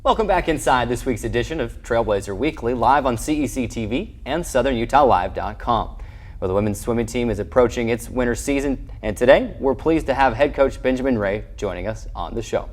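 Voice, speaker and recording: A man's voice: mid-range voice, a little fast. A man hosting a conversational sports interview, asking wordy, meandering questions. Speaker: show host and interviewer.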